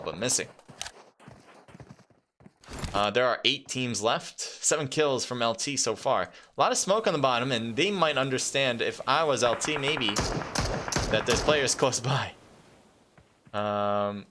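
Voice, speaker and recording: Excitable male esports caster over gunfire. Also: slight background noise. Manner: excitable, pitch leaps during fights, casual fillers. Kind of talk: live play-by-play commentary. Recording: game gunfire clearly underneath. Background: game gunfire and clicks.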